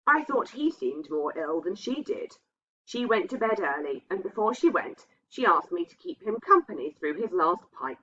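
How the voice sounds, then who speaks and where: A woman's voice: on the low side, fairly bright tone, slightly rough. A woman voicing a sensible housekeeper who recounts her past in a reflective, confiding way. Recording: dull, muffled lo-fi sound.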